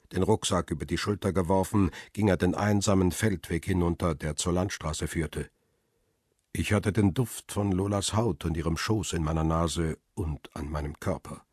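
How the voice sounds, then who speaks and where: A man narrating a radio crime drama in the first person, calm and reflective, with a husky voice. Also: deep voice, no echo, clean studio sound.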